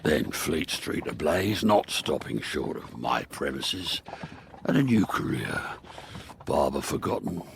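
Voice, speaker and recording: Villainous barber, gravelly, ornate and deliberate, gloating, wide-ranging intonation, period audio drama, noisy recording. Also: male voice, rumbling low, lively intonation, exaggerated voice. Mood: gloating, menacing. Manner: theatrical, deliberate, ornate formal phrasing. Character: scheming murderous barber posing as genteel nobleman, gloating.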